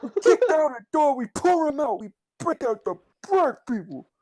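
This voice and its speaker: Woman putting on a loud, fixated biker thug. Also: sits high, big pitch swings, moderate pace, no room sound, voice pushed up. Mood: excited, insistent. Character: loud, fixated, racist male biker gang member.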